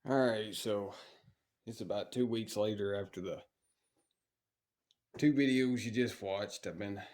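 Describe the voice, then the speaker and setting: A man's voice: lowish voice, measured pace, darkish tone. A man with a stuffy voice from a cold, talking plainly and casually while he restores an old engine in a cold workshop. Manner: plain-spoken, talks while working. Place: cold workshop heated by a stove.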